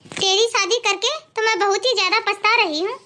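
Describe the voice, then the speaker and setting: A woman voicing a complaining, sharp-tongued mother-in-law in an accusing cartoon moral-story style. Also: extremely high pitch, steady tone, moderate pace. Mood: scolding.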